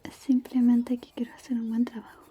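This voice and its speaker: A woman doing a soft-spoken, half-whispered ASMR roleplay as a shy, caring high school classmate, gentle and hesitant. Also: level tone, fairly quick, husky. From Chile.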